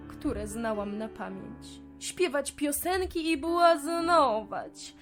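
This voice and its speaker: Woman acting a slow, high-voiced wistful flamingo. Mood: hurt, confiding. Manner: earnest, emotive, slow confiding delivery. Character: sad, wistful female flamingo star who fled the zoo. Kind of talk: children's audio fairy tale acting.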